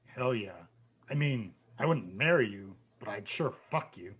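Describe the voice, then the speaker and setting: Man acting contemptuous husband, table-read drama, rough husky voice, slightly dull recording. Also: mid-range voice, animated, a little slow. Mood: scornful. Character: crude, controlling, contemptuous husband. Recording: dull, muffled lo-fi sound.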